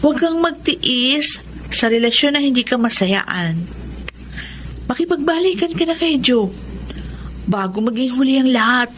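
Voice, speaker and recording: An actress playing a concerned older sister in a radio drama, probing and insistent. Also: female voice, high-pitched, expressive, measured pace, pauses often. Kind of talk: Tagalog radio drama acting. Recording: noisy radio drama soundtrack.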